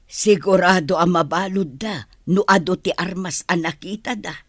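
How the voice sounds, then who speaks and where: A woman playing a gentle, caring middle-aged village mother, earnest and motherly, in an audio drama. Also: on the low side, normal speed, dry recording, studio quality, lightly acted. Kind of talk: radio drama dialogue.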